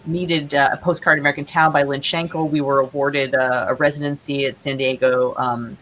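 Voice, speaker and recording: Casting: woman with a low voice, playwright guest on a chat show, earnest and explanatory, faint hiss on the recording. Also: little pitch movement, fairly quick, a bit bright, poor audio. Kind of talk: casual interview chat about own projects. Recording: clear background hiss.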